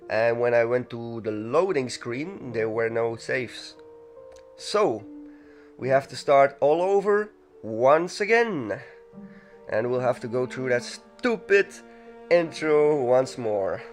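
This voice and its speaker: A man chatting loosely and rambling as he plays a video game, often correcting himself. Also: medium pitch. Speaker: let's play gamer. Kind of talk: casual gaming commentary. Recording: music playing under the voice.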